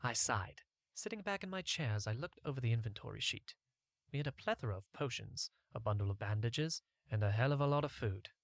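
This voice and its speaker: A man's voice: medium pitch, lively intonation, a little fast, vocal fry, dry recording, clean studio sound. A man reading a scripted first-person story as an audiobook narrator.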